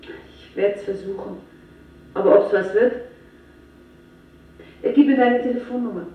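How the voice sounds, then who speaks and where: A woman's voice: mid-range voice, close to an everyday voice. An actress playing a flustered, affectionate young woman on a phone call in a radio drama, heard muffled and from far off in an echoing space. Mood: flustered, fond. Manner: halting, slow, flustered. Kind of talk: German radio drama acting. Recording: muffled, distant, very echoey, faintly noisy.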